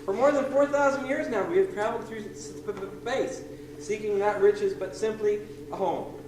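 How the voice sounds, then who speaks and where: A man playing a folksy, resigned pig ensign on a pirate ship, stuttering and halting, in old-time radio comedy style. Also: sits high, steady tone, lightly acted. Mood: wistful, resigned. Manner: folksy, halting, eager to comply. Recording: noisy, echoey old tape, distant mic.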